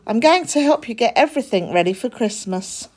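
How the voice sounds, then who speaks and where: Storybook casting: boastful young tomcat, childlike and earnest, pitched high with big swoops played by a woman. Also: animated, a little fast, darkish tone, husky. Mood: earnest, insistent. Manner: earnest, emphatic, childlike exclaiming. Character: eager boastful little boy cat.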